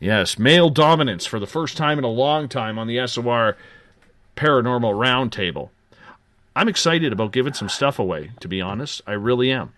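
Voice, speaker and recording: A man hosts a late-night radio talk show, his voice sitting at an ordinary middle pitch. He rolls through announcements with upbeat, joking patter. The sound is dry and close, with no room around it. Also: lively intonation, fairly quick, fairly bright tone, dry recording. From Canada.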